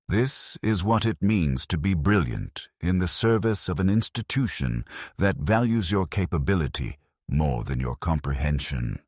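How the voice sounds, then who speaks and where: A man narrating a scripted first-person story in a somber, measured, bleak way into a close mic. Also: extremely low pitch, breathy edge, dry recording.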